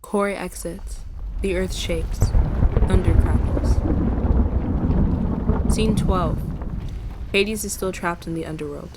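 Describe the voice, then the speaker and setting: A woman's voice: on the low side, steady tone, takes it slowly, long pauses, heavy background noise. A woman reading scripted narration for a radio play, announcing stage directions in short, terse phrases.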